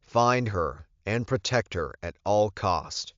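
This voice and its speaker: Man acting out fierce werewolf pack leader, commanding, protective, forceful, pitched up from a deep base, audiobook drama, dull sound. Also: lively intonation, takes it slowly, no echo. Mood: urgent, commanding. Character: commanding, protective male werewolf alpha, fierce about his mate.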